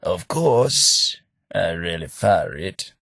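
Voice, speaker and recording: Casting: mild, wry English gentleman for a game, low-pitched, slow, slightly husky, dry booth. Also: sing-song, no room sound, full character voice. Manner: mild, self-deprecating, drily humorous. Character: gentle hapless posh English gentleman, a former clerk. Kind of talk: game character voice lines.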